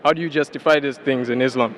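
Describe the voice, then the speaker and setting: A man's voice: medium pitch, fairly even intonation, fast talker, fairly bright tone, slight background noise, rough recording. A man politely putting a question as an audience member at a public lecture. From Tanzania.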